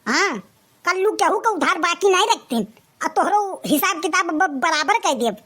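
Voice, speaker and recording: Casting: woman as pushy young gangster boy for comic cartoon, Awadhi accent, piercingly high and bright, full of swagger. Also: expressive, moderate pace. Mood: threatening. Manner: bragging, pushy. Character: scheming, boastful young male village wannabe gangster.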